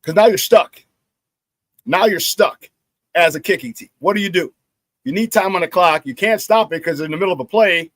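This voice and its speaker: Brief: middle-aged male sports talk host, hoarse, dry close mic, animated and repetitive. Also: high voice, moderate pace, no echo. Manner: animated storyteller who repeats phrases and laughs at his own stories. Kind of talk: casual sports talk monologue.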